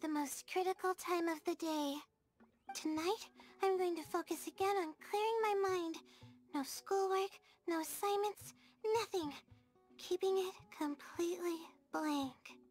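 A sweet, timid young student who sounds short on sleep and full of self-doubt. Her voice is very high and girlish, and she speaks slowly and hesitantly, with small stammers. It is game dialogue with music clearly playing behind it. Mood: pleading, determined. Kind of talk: video game character dialogue. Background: soft game music.

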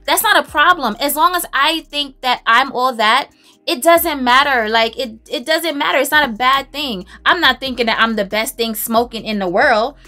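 A woman's voice: high-pitched, normal speed, bright, forceful tone. A woman, chatting casually and emphatically while doing her makeup in a home closet. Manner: chatty, emphatic, self-assured, laughs often. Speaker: beauty vlogger. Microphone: camera on a stand, close.

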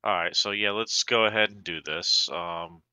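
A man chatting casually and thinking aloud in an unhurried way while playing an online card game. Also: on the low side, pushed and bright, smooth, dry recording.

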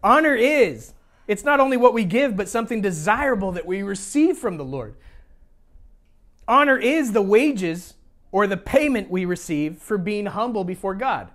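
A man's voice: high voice, very animated, normal speed, a bit bright. An American man preaching as a pastor in a conversational teaching style, informal, repeating phrases for emphasis and slipping in joking asides. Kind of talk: sermon, teaching a congregation conversationally.

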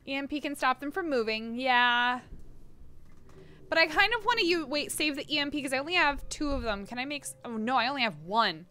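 A young woman streams her gameplay with casual running commentary. Her voice is high and bright, and it swings widely in pitch. She is giggly and swears easily as she chats. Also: lively intonation, brisk. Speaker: live streamer playing a video game. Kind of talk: casual live game commentary and chat.

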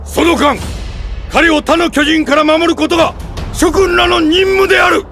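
Anime game veteran general: elderly, authoritative, creaky voice, deliberate delivery, faint music behind. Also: male voice, very high-pitched, fairly even intonation, bright, forceful tone, exaggerated voice. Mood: commanding. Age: older. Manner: commanding, deliberate oratory. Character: stern, composed old male army commander. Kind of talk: dramatic anime game acting.

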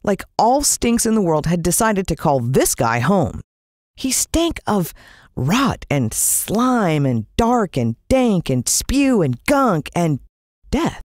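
A woman's voice: fairly low pitch, big pitch swings, slow pace, a little mellow, no room sound, clean studio sound. A woman with an American accent narrating a story in a dramatic, suspenseful way, drawing out words. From United States. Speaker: audiobook narrator.

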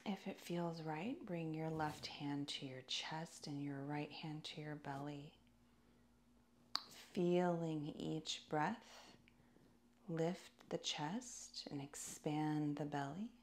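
A woman teaching yoga, leading a guided meditation in a calm, soothing, deliberate way with pauses between phrases. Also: sits low, a little hiss or hum.

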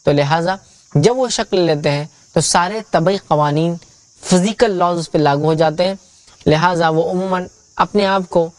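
A man's voice: on the high side, no echo. A middle-aged man giving a religious lecture, explaining a question through emphatic restatement and repetition on a studio stage. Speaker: Islamic preacher.

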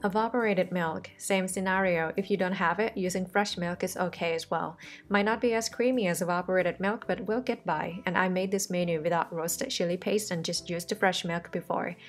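A woman's voice: fairly low pitch, fairly even intonation, moderate pace. A woman, the host of a cooking channel, talking through a recipe step by step in a friendly, reassuring voice-over. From Thailand.

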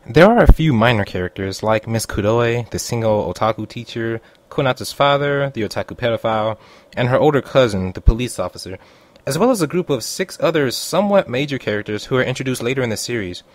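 A man's voice: medium pitch, lively intonation, a little fast, dry recording. A man reading a scripted review as an online critic, in voice-over. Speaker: anime reviewer.

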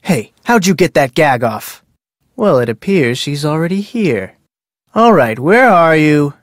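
A man's voice: fairly high pitch, very animated, unhurried, dry recording, studio quality. A man voicing a chatty, salesmanlike whale-watch operator with a sly side in a video game, with a breezy, glib way of talking. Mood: smug, menacing. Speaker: game voice actor. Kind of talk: video game character dialogue.

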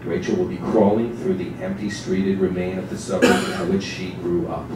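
A deep-voiced man gives a measured dramatic reading of narrative prose on a small stage. His delivery is deliberate and literary, caught from far off in a heavily echoing, noisy space. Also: little pitch movement. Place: small theatre stage with seated audience. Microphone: distant camera microphone.

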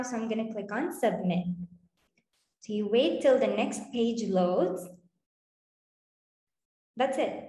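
Woman in her twenties, higher pitch, cheerful, encouraging, children's host, echoey room, video call. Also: a little mellow. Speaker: host of children's online storytime. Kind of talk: presenting and explaining to children. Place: indoor room with bare wall. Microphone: video call, computer microphone. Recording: roomy, echoing video call.

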